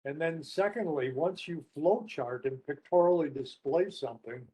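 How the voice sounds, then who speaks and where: Higher-pitched, mellow, husky man in discussion. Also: moderate pace, rough recording. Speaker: panelist, experienced change practitioner. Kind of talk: unscripted discussion, telling anecdotes.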